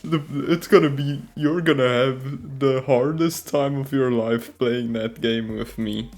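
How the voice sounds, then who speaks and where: Slow, gravelly South African man. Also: mid-range voice, a little mellow, soft background music. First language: Afrikaans. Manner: hesitant, laughs often. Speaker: podcast co-host. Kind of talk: casual chat. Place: living in America.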